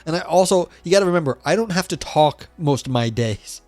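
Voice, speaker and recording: A man with a scratchy, hoarse voice on a livestream, reading philosophy passages aloud and then commenting casually, swearing and poking fun at himself. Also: a little high, lively intonation, brisk, a little mellow, dry recording, faint music behind. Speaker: streamer reading philosophy text.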